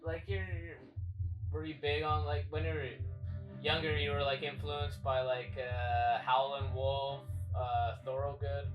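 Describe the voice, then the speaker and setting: A man's voice: mid-range voice, level tone, a little slow, roomy sound, recorded from a distance, lo-fi sound, music in the background. A man hosting a casual interview, asking questions in a rambling, filler-heavy way and swearing casually. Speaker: podcast host.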